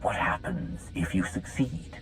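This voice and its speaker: A man playing a measured, resolute space-opera hero in a video game, speaking in a calm, deliberate and persuasive way. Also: loud music behind the voice, lightly acted, rough recording. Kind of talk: cinematic video game acting.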